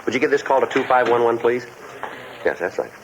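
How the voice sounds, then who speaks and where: Male actor as terse American detective, low-pitched and deadpan; muffled vintage radio sound with clear hiss. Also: a little fast, close to an everyday voice. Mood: matter-of-fact, dry. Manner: deadpan, clipped, laconic. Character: terse, unflappable police detective sergeant, dry and patient. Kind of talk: 1950s radio drama acting and clipped narration. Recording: muffled, noisy old radio recording.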